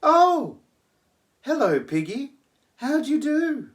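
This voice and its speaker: A little storybook pig, high and squealing. He pleads and exclaims in an anxious, very lively way. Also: slow, heavily acted. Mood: desperate. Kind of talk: storybook character reading.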